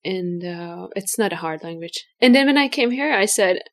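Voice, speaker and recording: A woman's voice: fairly low pitch, lively intonation, moderate pace. A woman from Hungary with a Hungarian accent, a guest artist thinking aloud in a casual interview with frequent restarts.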